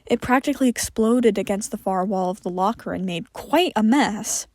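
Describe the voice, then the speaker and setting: A woman's voice: medium pitch, moderate pace, darkish tone, no room sound, studio quality. A woman reading scripted fiction aloud as a narrator.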